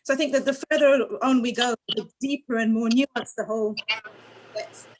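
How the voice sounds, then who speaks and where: A woman's voice: fairly high pitch, fairly even intonation, normal speed, poor audio. A woman from London on a discussion panel, speaking conversationally and acting out little exchanges as she talks. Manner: conversational, acts out quoted exchanges.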